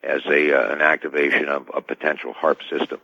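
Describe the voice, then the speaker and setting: Dull band-limited sound, male expert guest, very low pitch, formal wordy answers. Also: level tone, a little fast, gravelly, bright, forceful tone, dry recording. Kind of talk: radio interview answers.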